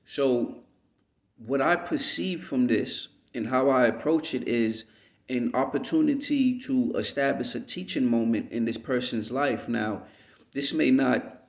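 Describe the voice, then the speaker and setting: A man from the United States giving an unhurried, rambling reflective monologue as a vlogger, often checking in with "you know what I mean.". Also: mid-range voice, vocal fry. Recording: roomy echo.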